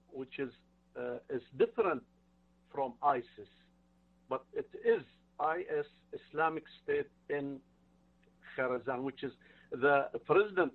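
Man, English, earnest and halting, tinny faraway phone line. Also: fairly high pitch, far from the mic. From Iraq. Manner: halting, earnest, non-native phrasing. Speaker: caller. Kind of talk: phone-in call to a talk show.

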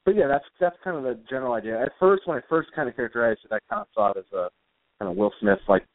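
A man giving casual, rambling interview answers as a guest author, speaking in a hesitant, halting way. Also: mid-range voice, expressive. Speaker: guest, comic book author. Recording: roomy, phone-like internet radio call.

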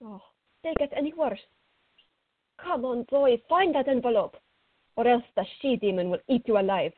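A woman's voice: fairly high pitch, moderate pace, no room sound, lightly acted. A woman playing a fiery, impulsive, stubborn teenage girl in radio theater, exclamatory and easily flustered. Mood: frustrated. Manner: exclamatory, flustered, muttering to herself. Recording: tinny, phone-like narrow band.

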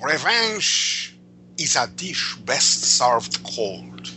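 Man putting on a slow, theatrical, vengeful space villain. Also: mid-range voice, big pitch swings, background noise. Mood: grand menace. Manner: drawn-out delivery with long dramatic pauses. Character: menacing, theatrical space villain, savoring his revenge.

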